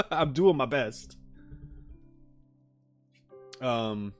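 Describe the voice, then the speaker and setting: A man's voice: medium pitch, expressive, extremely slow. A man chatting casually to his livestream viewers as host, in an informal, rambling, self-deprecating joking way. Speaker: livestream host, game reaction streamer. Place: home streaming setup with green screen. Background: music.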